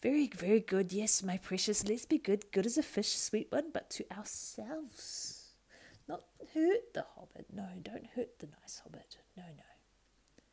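A woman reading a storybook aloud and voicing a wretched, greedy male creature who argues with himself in hissing whispers and high whines. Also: animated, unhurried, creaky, mellow, breathy edge, heavily acted. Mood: arguing with himself. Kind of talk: audiobook character voice.

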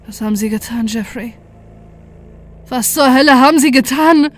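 Casting: actress for horror story narrator, higher register, intense and horrified, dramatic first-person delivery. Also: female voice, moderate pace. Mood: furious despair. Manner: dramatic, intense, dread-laden. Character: desperate, horrified narrator confronting a mad former friend.

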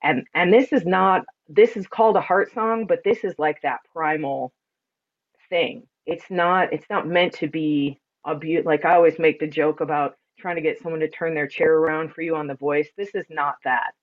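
A woman speaks in a deep, resonant voice with plenty of body. She is reflective and emphatic, explaining things earnestly as in a conversational interview. The audio is somewhat dull and lo-fi. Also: normal speed. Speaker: podcast guest, spiritual sound and ceremony practitioner.

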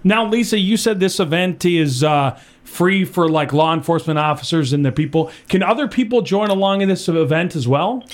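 A man hosting a casual radio interview, friendly and quick with a joke. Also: sits high. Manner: joking, friendly, laughs easily. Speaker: radio host.

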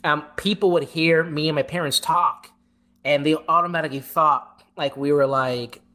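Low-voiced woman who stammers. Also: fairly even intonation, normal speed, fairly bright tone. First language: English. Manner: stammers and repeats words. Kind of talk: casual chat.

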